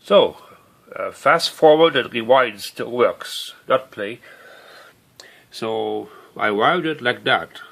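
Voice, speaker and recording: A middle-aged man narrating an unscripted hands-on demonstration as a hobbyist, halting and rambling as he thinks aloud. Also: mid-range voice, expressive, vocal fry, a bit bright. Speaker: hobbyist showing his broken tape recorder. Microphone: handheld camera or phone mic.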